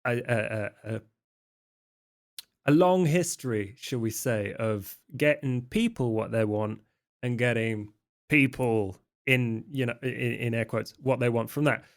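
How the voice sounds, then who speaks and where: A man acts out a sly vampire wheeler-dealer for a roleplay podcast. His mid-range voice feels its way through slangy, wry sales patter, stopping and starting as he pitches. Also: a little slow, close to an everyday voice. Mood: sly, pitching. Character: sly, slangy male vampire hustler named Sean. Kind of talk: improvised tabletop roleplay.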